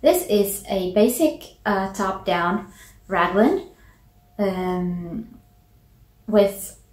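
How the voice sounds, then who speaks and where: A Finland-Swedish woman in her forties, a native Swedish speaker, chats to the camera in a relaxed and unhurried way, pausing often to gather her thoughts. She speaks from her small home office, and her voice carries a noticeably roomy echo. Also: medium pitch. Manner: relaxed, thoughtful, with many ums and pauses. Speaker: knitting podcast host. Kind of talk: casual chat to camera. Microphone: camera at desk distance. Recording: echoey small room.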